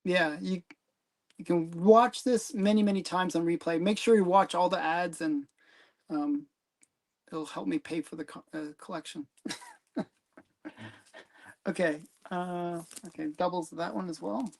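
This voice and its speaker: A man's voice: sits high, a little slow, vocal fry, pauses often. A man hosting a casual livestream chat, talking hesitantly with frequent ums and trailing sentences. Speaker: comic collector host.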